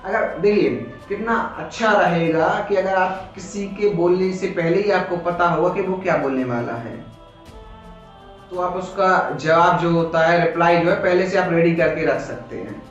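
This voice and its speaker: A man's voice: high voice, normal speed. A man with an Indian accent lecturing informally like a classroom teacher, explaining by asking questions and answering them himself. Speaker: teacher, coaching instructor. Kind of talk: informal classroom-style lecture, mixing Hindi and English. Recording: echoey room, distant mic, dull sound, background music.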